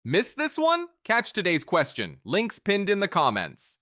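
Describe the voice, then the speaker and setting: Lively, bright-voiced male quiz narrator. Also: high-pitched, unhurried, no echo, studio quality.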